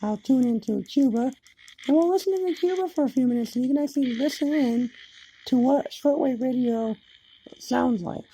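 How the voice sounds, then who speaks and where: Husky, gravelly woman hosting a hobby video. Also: sits high, normal speed, dark tone, poor audio. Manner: explanatory, apologetic. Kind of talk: casual demonstration narration.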